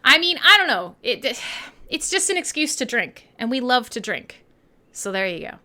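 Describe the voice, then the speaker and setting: A woman's voice: fairly high pitch, sing-song, moderate pace, pushed and bright. A Canadian woman hosting an irreverent radio show, chatting casually in a sweary, jokey, rambling way. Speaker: online radio show host and DJ. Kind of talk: casual irreverent live radio chat between songs.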